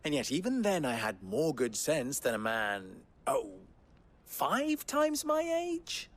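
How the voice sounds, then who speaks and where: An actor in a cinematic video game playing a young merchant boss who is sly, smug and condescending, with mocking, mannered rhetorical questions. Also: male voice, fairly high pitch, wildly swooping pitch, slow, a bit bright, full character voice. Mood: smug, businesslike.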